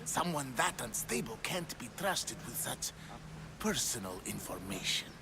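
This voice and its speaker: An actor playing a secretive, coldly cautious cult conspirator in a video game, speaking in a measured and guarded manner. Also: male voice, medium pitch, big pitch swings, background noise. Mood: conspiratorial. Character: scheming, secretive male cultist, coldly cautious. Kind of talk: dramatic video game acting.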